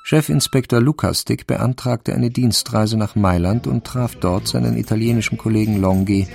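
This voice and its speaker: A man reading scripted narration for a radio crime drama in a husky voice. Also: on the low side, moderate pace, over music.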